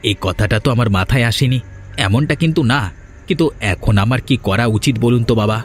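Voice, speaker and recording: A man's voice: medium pitch, expressive, fast, faint music behind, close to an everyday voice. A man acting a domineering cartoon elder brother. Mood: questioning, respectful. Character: domineering, resentful elder brother in a village family. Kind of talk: Bengali fairy-tale cartoon dialogue.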